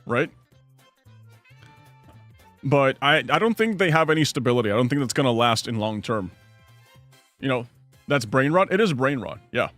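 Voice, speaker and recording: A man's voice: medium pitch, very animated, normal speed, pauses often, a bit bright, soft background music. A young adult man streaming from home, rambling casually through chat questions and answering his viewers. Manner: rambling, chatty, reads chat aloud and answers.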